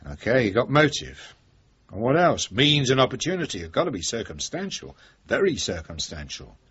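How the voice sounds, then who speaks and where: A British actor playing a cornered, wily, middle-aged chief inspector in a radio drama, blunt, sardonic and probing. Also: male voice, medium pitch, very animated, moderate pace, a bit bright, slightly rough, heavily acted. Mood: probing, cynical. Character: cornered, wily veteran police chief inspector, defiant and cynical. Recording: dull, muffled old radio recording.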